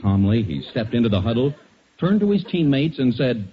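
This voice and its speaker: A man's voice: medium pitch, very animated, normal speed, very noisy. A man with an American accent announcing in polished, persuasive 1940s radio style, as scripted narration and advertising. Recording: muffled 1940s radio recording.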